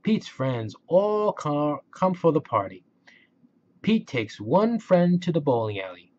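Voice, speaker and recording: A man reading a children's story aloud in a simple, expressive way, on a slightly dull home recording. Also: fairly high pitch, takes it slowly, slight background noise. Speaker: picture book reader.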